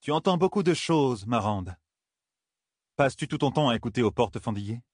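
A man's voice: medium pitch, animated, moderate pace, husky, no echo, clean studio sound, voice pushed up. A man voicing a proud, commanding queen in an audiobook, curt and imperious. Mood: cutting reproach. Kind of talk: audiobook character dialogue.

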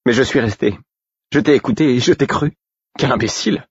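A man's voice: low voice, steady tone, moderate pace, breathy edge, no echo, muffled recording. A man voicing a furious, wounded young woman in an audiobook, indignant, scornful and biting. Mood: bitter, angry. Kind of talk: audiobook character acting.

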